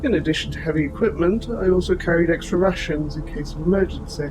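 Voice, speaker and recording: Man playing a formal, higher-voiced robot soldier. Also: background noise, natural voice. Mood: calm, informative. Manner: formal, precise, measured phrasing. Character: aging male military robot soldier, formal, gently protective caretaker. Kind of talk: scripted audio roleplay spoken to the listener. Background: music.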